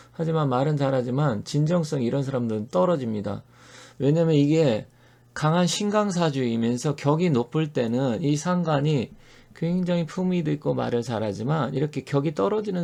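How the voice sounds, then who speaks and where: A middle-aged man talks straight to his viewers in a gravelly, dark, mellow voice. He explains and argues earnestly, stopping often to gather his thoughts. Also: medium pitch. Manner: earnest, opinionated, explanatory, with frequent pauses and fillers. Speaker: fortune-telling channel host. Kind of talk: solo monologue to camera.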